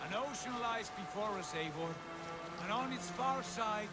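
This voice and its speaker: An actor playing an ambitious, defiant Viking prince in a video game, speaking in measured, formal declarations. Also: male voice, high voice, lively intonation, over loud music. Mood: hopeful. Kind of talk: video game cinematic acting.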